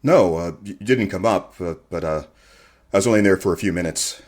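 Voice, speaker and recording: A man playing a modest, earnest, smitten radio host in a radio comedy-drama, with a hesitant, understated, halting delivery. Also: low voice.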